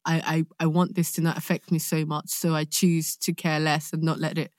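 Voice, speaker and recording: A woman's voice: sits low, flat delivery, normal speed, no echo, studio quality. A young woman with a London accent chatting casually and expressively, telling stories in a candid, slangy way. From London, England. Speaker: podcast guest, actor. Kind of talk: casual chat, animated storytelling.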